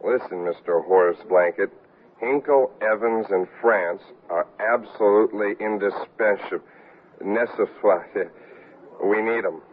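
A man's voice: fairly low pitch, unhurried. An actor playing a tipsy, disgruntled company man who rambles and confides sardonically in a 1970s radio comedy. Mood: tipsy, confiding. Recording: thin, phone-like vintage radio recording.

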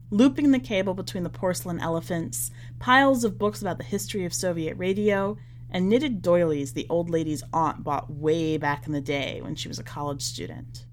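A woman narrating literary fiction from a script, with measured, deliberate phrasing that falls away at the ends of lines. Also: fairly low pitch, slight background noise. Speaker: podcast editor reading a short story aloud.

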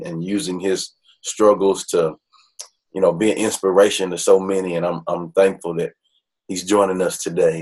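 A man's voice: low voice, darkish tone, husky. A man hosting a podcast, greeting listeners in an upbeat way and introducing his guest loosely, with some hesitation. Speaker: podcast host. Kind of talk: casual interview introduction.

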